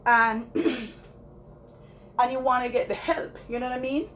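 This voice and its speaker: A woman's voice: on the high side, takes it slowly, a bit bright, a little hiss or hum, rough recording. A Jamaican woman chatting casually to her live viewers while walking around the block, laughing often and calling them guys. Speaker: vlogger.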